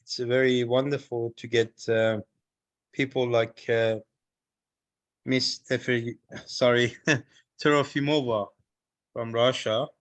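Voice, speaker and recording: A man from Iran speaks up during an online seminar, friendly and emphatic, pausing with fillers. His voice has a middle pitch. The sound is dry and close, with no room echo. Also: little pitch movement. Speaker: seminar participant. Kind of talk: spontaneous comment.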